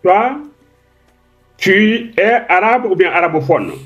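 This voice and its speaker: Insistent high-voiced man on a dull line. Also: expressive, slow pace, soft background music. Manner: insistent, repeats polite requests. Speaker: caller in a live debate. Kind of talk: casual debate questioning.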